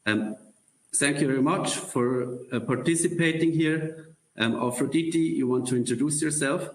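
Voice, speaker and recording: A man presenting a corporate career webcast, halting with many pauses and throat clears. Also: fairly high pitch, echoey room, low-quality recording.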